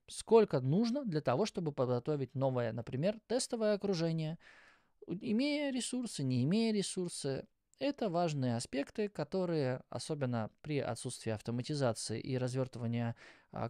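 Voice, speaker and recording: A man's voice: fairly high pitch, darkish tone, no room sound. A man in his thirties giving an explanatory tech presentation in a conversational way, with informal asides, speaking close to a studio microphone. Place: indoor studio with acoustic foam. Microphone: close microphone on a boom arm.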